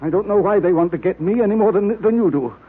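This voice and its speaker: A frightened, secretive Egyptologist from an old radio thriller. His voice sits high and he sounds agitated and pleading. The recording is tinny and narrow, with a roughened tone. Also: quick pace. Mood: evasive, defensive. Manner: agitated, pleading, repeating himself. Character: frightened, secretive, petulant Egyptologist fearing a curse. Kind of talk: old-time radio drama acting.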